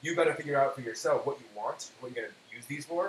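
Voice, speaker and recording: Casual male cooking host, mid-pitched, hesitant and thinking aloud, echoey room with faint hiss. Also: fast talker. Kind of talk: casual cooking show chat.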